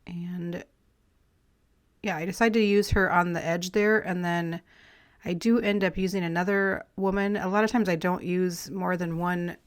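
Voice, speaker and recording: Raspy-voiced woman chatting casually. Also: on the low side, moderate pace. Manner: relaxed, rambling, friendly, with light laughs. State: slightly raspy, clearing her throat after illness. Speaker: crafting vlogger. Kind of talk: casual chatty voice-over while collaging.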